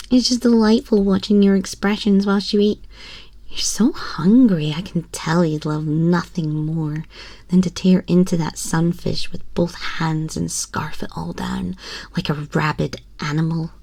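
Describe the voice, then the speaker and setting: A woman's voice: lowish voice, steady tone, mellow, dry recording. A woman voicing a haughty, teasing, domineering young empress in an ASMR roleplay, condescending and often dropping toward a whisper. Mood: cooing, smug. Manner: condescending, slow, breathy purring with whispers. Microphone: close binaural microphone.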